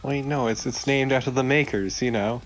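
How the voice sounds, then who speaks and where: A man's voice: medium pitch, normal speed, faint music behind. A man co-hosting a let's play, chatting casually about the game.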